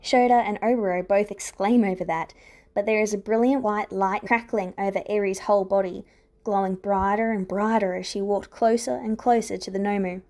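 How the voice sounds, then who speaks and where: A woman reading scripted fiction aloud as a narrator, with expressive storytelling delivery. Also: mid-range voice, normal speed. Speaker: podfic narrator.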